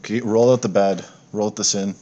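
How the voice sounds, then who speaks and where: A man narrating a tabletop horror game as game master, improvising in a casual, halting, descriptive way. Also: on the low side, steady tone, mellow, highs cut off. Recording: noisy home mic on online game.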